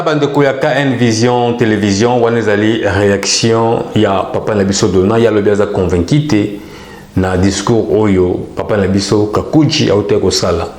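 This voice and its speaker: Homme à la voix grave, salle résonnante. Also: male voice, lowish voice, darkish tone, echoey room. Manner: repeats greetings into the line, mixes French and Lingala. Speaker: web TV host taking callers. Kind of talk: casual call-in show hosting.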